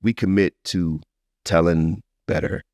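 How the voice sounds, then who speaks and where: A man's voice: low-pitched, fairly even intonation, unhurried, darkish tone, dry recording, clean studio sound. A man talking casually as a podcast host, emphatic and slangy, often repeating his phrases for stress. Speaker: podcast host and music artist. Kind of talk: casual conversational podcast talk.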